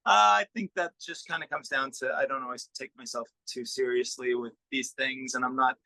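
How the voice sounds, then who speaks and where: Bright-voiced man, casual and self-effacing. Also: medium pitch, steady tone, moderate pace, gravelly, no room sound, low-quality recording. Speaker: musician and record producer being interviewed. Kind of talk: casual interview chat.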